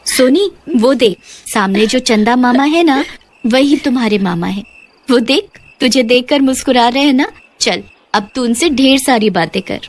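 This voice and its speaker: A woman's voice: on the high side, expressive, normal speed, voice pushed up. A woman voicing a tender poor mother in a children's story, affectionate and soothing. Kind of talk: children's moral story voice acting.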